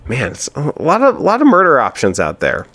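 A man's voice: medium pitch, wildly swooping pitch, a little fast, a bit bright, no room sound. A man co-hosting a podcast, chatting casually, laughing often and hedging with fillers. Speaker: podcast co-host.

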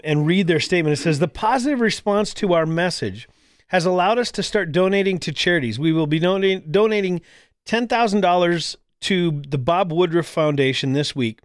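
A man hosting a talk show, chatting casually in a rambling way, full of ums and restarts. His voice sits a little high for a man. The studio sound is dry and close. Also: smooth, no echo. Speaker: podcast and radio show host. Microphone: studio microphone.

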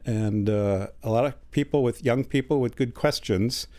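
A man in his sixties, a studio interview guest, answering in a measured way with many hesitant fillers. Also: mid-range voice, dark tone. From United States.

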